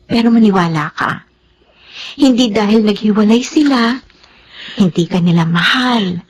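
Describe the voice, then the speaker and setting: A woman's voice: mid-range voice, unhurried, a little hiss or hum, natural voice. A woman playing a devoted, protective nanny with an earnest manner in a Filipino radio drama. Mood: soothing, earnest. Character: devoted adult nanny, gentle, patient, protective.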